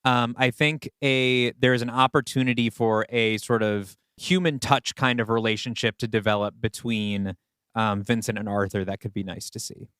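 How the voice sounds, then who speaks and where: Clear-voiced man casually floating ideas. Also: mid-range voice, steady tone, normal speed, fairly bright tone, dry recording, studio quality. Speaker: podcast co-host and writer. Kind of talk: casual story brainstorming.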